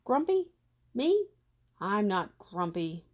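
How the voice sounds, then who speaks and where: A woman's voice: medium pitch, sing-song, takes it slowly, darkish tone, pitched above the performer's own voice. A woman voicing a grumpy, stubborn male ape from a storybook, protesting in an emphatic, indignant way.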